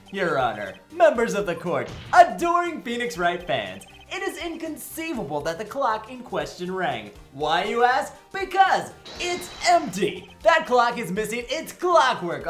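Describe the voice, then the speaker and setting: A sassy, theatrical defense attorney wisecracks through a comedic courtroom game, voiced by a woman. The pitch swings extremely widely, and music plays faintly behind. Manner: sassy, theatrical, joking asides. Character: cheeky, dramatic, wisecracking male defense attorney. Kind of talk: comedic visual novel game fandub.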